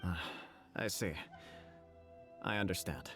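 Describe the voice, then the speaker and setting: Formal, dignified shogunate official. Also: male voice, deep voice, slow, music in the background. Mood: gracious, composed. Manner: formal, diplomatic. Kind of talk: video game character dialogue.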